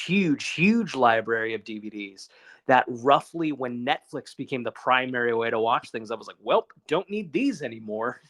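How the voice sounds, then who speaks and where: A man's voice: mid-range voice, a bit bright. A man co-hosting a casual podcast over a phone call, talking in a rambling, casually profane way. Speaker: podcast co-host.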